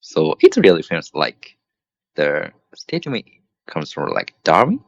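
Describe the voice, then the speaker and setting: A man's voice: rumbling low, huge pitch swings, unhurried, gravelly, highs cut off. A Japanese man practicing English with a Japanese accent, laughing often and using many fillers over a video call. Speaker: English student. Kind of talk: casual conversation practice.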